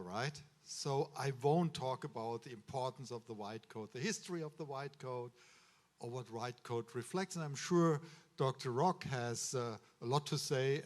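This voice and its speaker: A man with a German accent, a medical school official giving a ceremony speech to students in an earnest, advising way, often pausing on uh and restarting words. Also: medium pitch. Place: ceremony hall.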